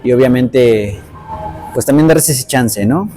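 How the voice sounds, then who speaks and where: A man hosts a casual interview, musing aloud and asking questions, often ending with a questioning tag. His voice is warm and mellow, mid-range for a man. Faint background music plays under the talk. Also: medium pitch, slow pace. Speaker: interviewer, podcast host.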